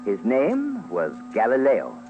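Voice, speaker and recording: A man's voice: mid-range voice, wildly swooping pitch, slow pace. A man delivering dramatic, scripted radio documentary commentary, theatrical and playful, addressing listeners directly. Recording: dull vintage radio, loud music behind.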